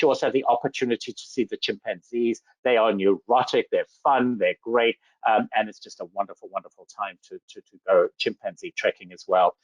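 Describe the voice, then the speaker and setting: A man's voice: medium pitch, no echo. Man from Zambia, safari presenter, enthusiastic, muffled lo-fi sound, dead dry room. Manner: enthusiastic, full of superlatives. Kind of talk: slide presentation to travellers. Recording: dull lo-fi dry audio.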